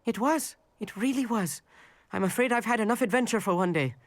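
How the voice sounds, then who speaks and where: A man's voice: sits high, fairly quick, no echo. An actor playing a polite, bookish, timid teenage boy wizard in a video game, courteous and hesitant in his precise speech. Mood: anxious. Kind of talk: video game cutscene acting.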